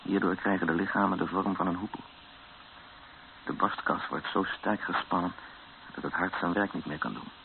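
An actor playing a grave captive who narrates his ordeal in a radio drama in a measured way. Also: sits low, gravelly. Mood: grim. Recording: muffled lo-fi radio recording with hiss.

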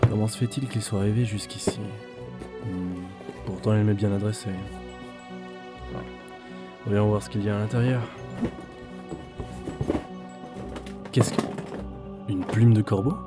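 A man's voice: on the low side, fairly even intonation, normal speed, pauses often, loud music behind the voice, lightly acted. A man playing a disillusioned office worker who broods and mutters to himself in a French audio drama. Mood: puzzled. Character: weary, disillusioned male office worker, former gamer.

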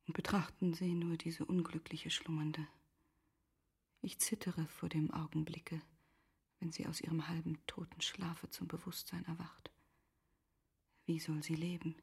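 An actress playing a grieving, resigned noblewoman in a literary radio drama, speaking in formal, measured phrases with a breathy, half-whispered voice. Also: low voice, flat delivery, exaggerated voice. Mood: anguished self-reproach. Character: grieving, resigned noblewoman Charlotte, self-reproaching and composed.

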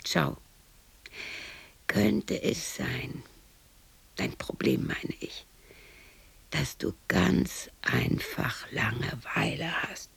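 An actress playing a lonely, embittered widow in her sixties, muttering erratically to herself in a husky, breathy radio play monologue. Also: very deep voice, huge pitch swings, in a whisper, exaggerated voice. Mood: sullen. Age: 60s. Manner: muttering, brooding, erratic, halting. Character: lonely, embittered sixty-year-old widow talking to herself.

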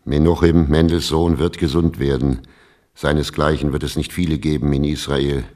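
Very deep-voiced, solemn rabbi. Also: male voice, fairly even intonation, darkish tone. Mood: solemn, prophetic. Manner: grave, oracular, measured. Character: solemn old rabbi giving a prophecy. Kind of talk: German radio play acting.

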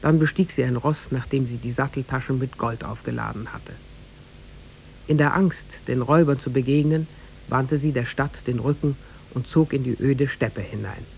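A man narrating a tale in a formal, measured 1950s radio-drama style with literary diction. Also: mid-range voice, vocal fry, a little hiss or hum, low-quality recording.

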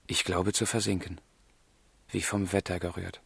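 Passionate, husky young romantic. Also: male voice, low voice, flat delivery, normal speed, a bit bright, dry recording, exaggerated voice. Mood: rapturous, tender. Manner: fervent, theatrical, confiding. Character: passionate, lovesick young gentleman, sensitive and volatile. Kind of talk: German literary radio drama acting.

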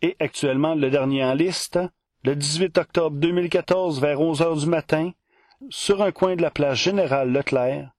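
A man's voice: fairly high pitch, dry recording, clean studio sound. A man presenting a scripted segment for a podcast.

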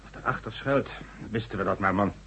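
An actor playing a formal, dismissive government minister in a radio drama, with a condescending, authoritative manner. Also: male voice, on the low side, a little fast, pushed and bright, husky, dull audio, heavily acted. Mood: sardonic impatience. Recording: noisy, humming old radio recording.

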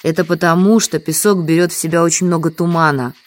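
A woman's voice: on the low side, fairly even intonation, fast, close to an everyday voice. An actress playing a humble, trusting village woman in an audio drama, explaining things simply. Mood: matter-of-fact.

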